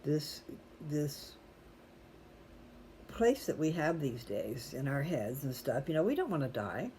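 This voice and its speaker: A mellow, relaxed voice with a folksy way of talking to viewers. The host rambles casually from one aside to the next, over a faintly noisy home-style recording. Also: medium pitch, a little slow. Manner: chatty, folksy, digressive. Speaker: host reading scripture aloud for viewers.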